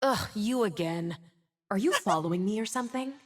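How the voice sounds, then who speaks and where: A woman's voice: mid-range voice, animated, normal speed, close to an everyday voice. Woman doing a bitter fallen angel girl, sneering at her twin, game voice. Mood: mocking. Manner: mocking, resentful, dramatic. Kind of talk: video game voice lines.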